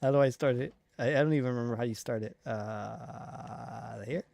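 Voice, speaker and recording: Gravelly-voiced man casually streaming a game. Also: medium pitch, a little mellow. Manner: rambling, halting, thinking aloud. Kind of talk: casual live stream commentary on a video game.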